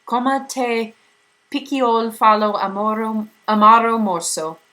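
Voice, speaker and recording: A woman's voice: a little high, fairly even intonation, takes it slowly, sharp tone, pitched above the performer's own voice, rough recording. A woman doing a tiny, odd old being quoting proverbs. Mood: sententious.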